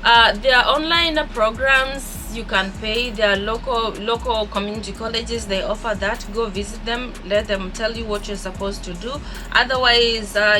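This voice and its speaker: A young woman with a Kenyan accent chats warmly and upbeat straight to camera, often repeating words as she goes. Her voice sits at an ordinary middle pitch for a woman. Background music plays clearly behind her the whole time. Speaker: vlogger. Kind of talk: casual vlog talk to camera.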